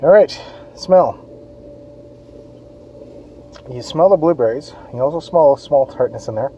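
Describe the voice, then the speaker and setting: A man's voice: a little high, expressive, unhurried, dark tone, highs cut off, slight background noise. A man from Western Canada, a parent, giving a casual, chatty beer review on an outdoor patio. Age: adult, parent of kids. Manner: chatty, informal, reads label aloud.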